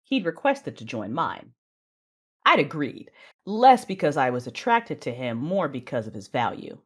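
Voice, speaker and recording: A woman narrating an audio drama in the first person, with a deliberate, theatrical delivery. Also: sits low, big pitch swings, slightly rough.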